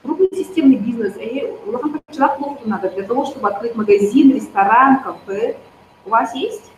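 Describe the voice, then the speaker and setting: A woman, persuasively pitching network marketing straight to her viewers. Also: fairly quick, slight background noise. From Yakutia. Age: 30s. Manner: persuasive, addresses viewers directly. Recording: roomy, slightly dull recording.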